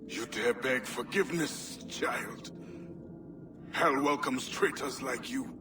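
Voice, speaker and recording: An actor playing a bitter, solemn older assassin, scornful and condemning, in a video game. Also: male voice, mid-range voice, slow pace, natural voice. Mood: bitter, grave. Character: bitter, condemning older male assassin making a last stand. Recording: distant voice with clear background noise.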